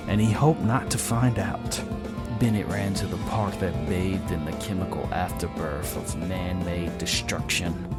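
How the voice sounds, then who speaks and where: Male narrator, low register, scripted dramatic fiction read over prominent guitar music.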